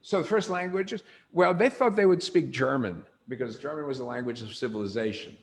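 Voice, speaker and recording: Older male lecturer, gravelly, conversational teacher who quizzes the room. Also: mid-range voice, a little fast. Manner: animated storyteller, asks the room questions. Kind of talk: informal lecture to a group.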